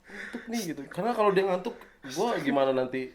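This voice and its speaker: A man's voice: high-pitched, animated, quick pace, a crowd in the background. A man with a Jakarta Indonesian accent telling tales as an interview guest in slangy, mock-earnest casual speech. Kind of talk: casual comic storytelling.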